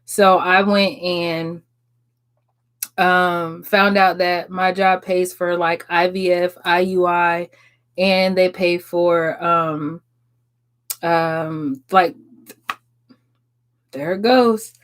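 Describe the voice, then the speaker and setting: A woman's voice: on the low side, steady tone, extremely slow, pauses often. A woman, chatting frankly and casually on a live stream, swearing and laughing often. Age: 30s. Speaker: livestream host answering viewers.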